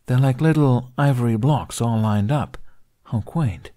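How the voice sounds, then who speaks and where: A man voicing a proud, smug, blunt male dragon with formal, deadpan phrasing in an audiobook. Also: mid-range voice, unhurried, pitched above the performer's own voice, natural voice. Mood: curious, dismissive. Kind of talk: audiobook character acting.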